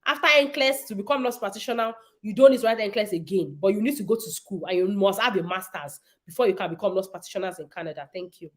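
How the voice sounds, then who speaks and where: A woman's voice: medium pitch, rapid-fire, hardly any pauses. A woman, hosting a casual livestream and answering viewers' nursing questions in an emphatic, repetitive way.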